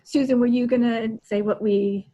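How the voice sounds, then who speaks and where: Enthusiastic higher-voiced woman, a little husky. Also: fairly even intonation, moderate pace, warm tone, dull audio. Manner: enthusiastic, emphatic. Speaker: podcast co-host, author. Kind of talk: casual book chat. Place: small room at home. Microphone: video call.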